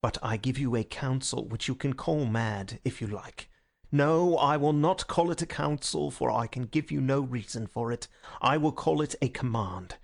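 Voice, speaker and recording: A man's voice: mid-range voice, moderate pace, creaky. A man voicing a passionate, desperate anarchist in a storybook, crying out and pleading. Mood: desperate sincerity. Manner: impassioned shouting and pleading.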